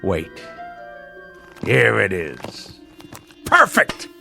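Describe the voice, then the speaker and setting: A man's voice: lowish voice, wildly swooping pitch, drawn-out words, vocal fry, bright, forceful tone, soft background music, exaggerated voice. A man playing a pompous middle-aged husband obsessed with the navy, speaking in theatrical, florid nautical language in a radio drama. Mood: jovial, grandiose.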